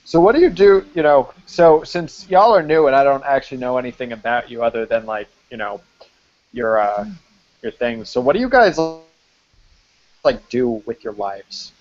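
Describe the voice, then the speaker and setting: A man in a loose, unscripted chat who peppers his talk with swearing and "like". His voice sits at an ordinary middle pitch. The sound is a bit dull and muffled, like online call audio. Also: lively intonation, moderate pace, a little mellow. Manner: swears freely, lots of "like" fillers. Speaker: film site writer hosting a live-stream chat. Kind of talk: casual live-stream chat.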